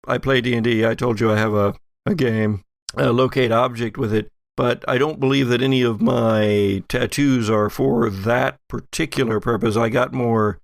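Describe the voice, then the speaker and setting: A hesitant, musing mystic played by a man in a tabletop audio drama. The voice is slightly gravelly and dry, and the speech halts as thoughts form. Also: medium pitch, fairly even intonation, no room sound, close to an everyday voice. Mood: musing, unsure. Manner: halting, thinking aloud.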